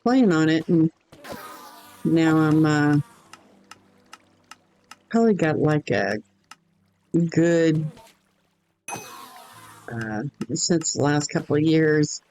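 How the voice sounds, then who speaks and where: Man, hoarse, voice cracking in and out, very slow rambling speech, lots of ums, casual game stream. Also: fairly high pitch, a little mellow, faint music behind. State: hoarse, voice going in and out. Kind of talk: casual gaming stream chat.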